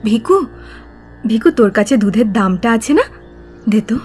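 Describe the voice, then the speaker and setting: A woman's voice: fairly high pitch, animated, moderate pace, a little mellow, faint music behind, close to an everyday voice. A woman playing a poor, loving, anxious village mother in an audio drama, speaking in a tender, hesitant way. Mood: gentle questioning. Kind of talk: audio story acting in Bengali radio drama.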